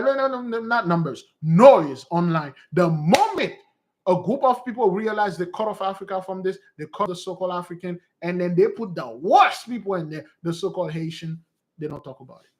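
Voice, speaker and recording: A man teaching in a loose, rambling monologue who repeats his points and calls his listeners beloved. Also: sits high. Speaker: preacher and teacher.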